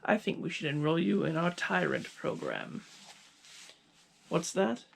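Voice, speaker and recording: A man voicing a smug, menacing scientist villain in an ASMR roleplay, gravelly and husky, dropping toward whispers, with theatrical taunting pauses. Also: on the high side, sing-song, a little hiss or hum, heavily acted. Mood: gloating, taunting.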